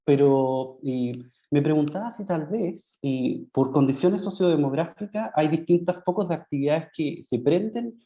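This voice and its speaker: A man's voice: a little high, moderate pace. A man with a Chilean Spanish accent giving academic questions at an online conference, hesitant, with many fillers and restarts. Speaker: conference discussant. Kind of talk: academic Q&A comments. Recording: dull lo-fi video call audio.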